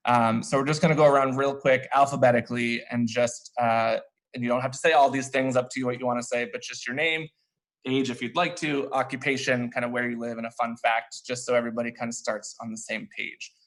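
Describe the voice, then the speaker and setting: A man's voice: medium pitch, fairly quick, poor audio. Casual man hosting a video call. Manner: relaxed, many fillers. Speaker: host of an online game. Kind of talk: casual group introductions.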